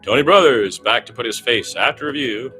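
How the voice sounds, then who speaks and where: A man's voice: mid-range voice, animated, fairly quick, sharp tone, faint music behind, low-quality recording. A man doing live radio basketball play-by-play in a conversational, opinionated, exclamatory way.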